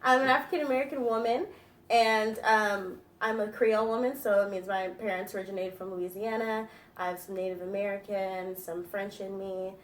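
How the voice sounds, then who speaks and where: A woman's voice: mid-range voice, steady tone, moderate pace. A woman in her twenties answering interview questions in a chatty, thoughtful way with many fillers. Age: 20s. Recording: roomy echo, from a distance, faint noise.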